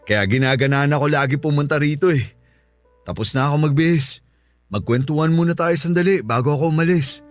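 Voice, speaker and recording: An actor with a Filipino accent playing a smug, flirtatious lover in a radio drama, sweet-talking intimately. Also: male voice, a little high, brisk, faint music behind, close to an everyday voice. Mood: satisfied, flirtatious. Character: smug, flirtatious married woman's male lover.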